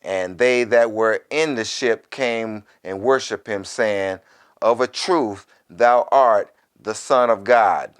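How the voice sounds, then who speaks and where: A man, a minister, reading scripture deliberately in a preacherly way and adding quieter, conversational commentary. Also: lowish voice, clear, resonant tone. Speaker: minister, Bible teacher.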